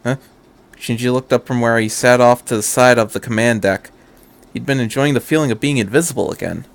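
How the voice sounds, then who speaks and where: A man's voice: medium pitch, brisk, vocal fry. A man narrating a scripted fan fiction story as an amateur audiobook reader.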